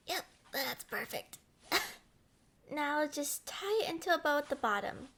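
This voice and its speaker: Woman voicing a sheltered young princess, very high pitch, animated swoops, playful, headstrong, expressive, roleplay audio drama. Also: slow, full character voice. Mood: coy, pleased. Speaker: voice actor. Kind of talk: roleplay audio acting, ASMR-style.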